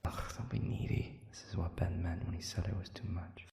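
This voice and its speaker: Casting: male actor as nervous young boyfriend for audio roleplay, dark timbre, creaky, easily flustered. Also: sits low, fairly even intonation, in a whisper. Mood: uneasy, muttering. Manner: stammering, halting, nervous. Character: anxious, flustered young man, a scatterbrained boyfriend. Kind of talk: intimate audio roleplay acting.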